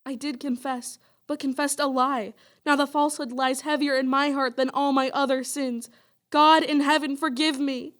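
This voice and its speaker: A woman's voice: high-pitched, flat delivery, moderate pace, a bit bright. An actress playing a wrongly condemned young woman, pious and resigned, speaking earnestly and formally in a radio play. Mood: sorrowful pleading.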